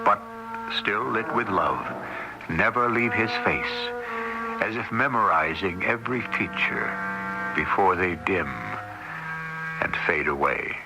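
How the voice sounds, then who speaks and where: A man hosting and narrating an old-time radio mystery drama in a gravelly, measured, solemn voice. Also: on the low side, lively intonation, poor audio. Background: music.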